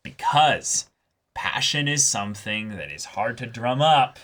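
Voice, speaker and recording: A man's voice: mid-range voice, expressive, measured pace, a bit bright. A man co-hosting a reaction show, chatting casually about a series and animatedly acting out imagined lines of dialogue. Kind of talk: casual anime review chat.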